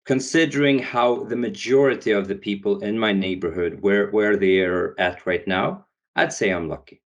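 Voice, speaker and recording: Swedish-raised young guy, podcast guest, lowish voice, stop-start phrasing. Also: normal speed. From Sweden. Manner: hesitant, many restarts and fillers. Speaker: guest, founder. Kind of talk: podcast interview.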